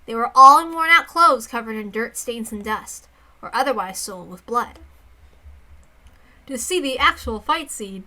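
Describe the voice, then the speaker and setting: A woman's voice: fairly high pitch, lively intonation, normal speed, pushed and bright. A woman reading a visual novel aloud, mixing dramatic narration with casual asides.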